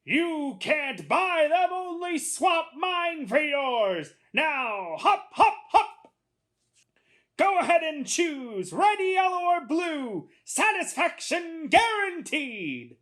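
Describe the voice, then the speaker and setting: Showy storybook salesman played by a woman: high, loud, stretched-out sales patter, wildly swooping. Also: sing-song, full character voice. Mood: showy, eager. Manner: sing-song hawker's patter, drawn-out words. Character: loud showy magic shoelace salesman, a grown man.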